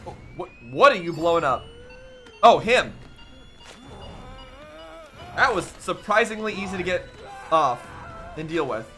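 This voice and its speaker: A man's voice: fairly high pitch, sing-song, a little slow, long pauses, fairly bright tone, soft background music. A man giving casual reaction commentary on game cutscenes, musing and thinking aloud as he goes. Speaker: reaction video host.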